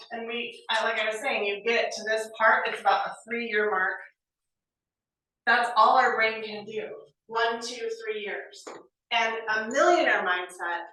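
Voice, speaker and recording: Woman in her 40s, bright and chatty trainer, keeps asking "right?", recorded from far off in a very echoey meeting room. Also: a little high. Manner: lively, conversational, keeps checking in with "right?". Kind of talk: motivational training talk. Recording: very echoey room, mic far off, faint noise.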